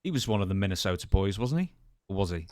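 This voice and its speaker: Animated low-voiced man interviewing. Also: fast, dry recording, studio quality. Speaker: podcast co-host and interviewer. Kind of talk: casual conversational interviewing.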